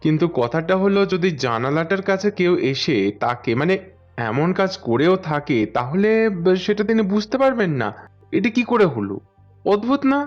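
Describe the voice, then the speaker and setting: Higher-voiced inquisitive male detective, acted by a man. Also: very animated, normal speed, highs cut off, soft background music. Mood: puzzled. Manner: conversational, questioning, conciliatory. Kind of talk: audio story acting.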